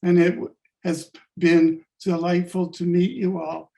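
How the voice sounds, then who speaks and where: A man who stutters, presenting a workshop on a video call, talking haltingly and joking. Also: high voice, little pitch movement, vocal fry, warm tone. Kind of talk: casual thanks in a group call.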